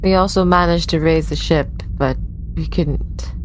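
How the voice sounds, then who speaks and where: A woman's voice: deep voice, a little slow, faint music behind, rough recording. A woman playing a tabletop roleplaying game, speaking in character.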